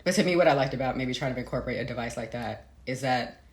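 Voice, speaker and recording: Female interviewee, author type. Crackly vocal fry, thoughtful and searching delivery, reverberant room sound. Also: very deep voice, fast. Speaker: interviewed novelist. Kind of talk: reflective conversational interview. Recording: roomy, echoey sound.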